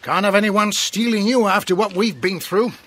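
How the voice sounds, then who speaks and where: A man's voice: sits high, moderate pace, fairly bright tone. An actor playing a kindly, plain-spoken working man who dotes on his horse, in a children's audio drama. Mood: gentle, caring. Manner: homely, plain-spoken, affectionate. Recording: noisy cassette tape with clicks.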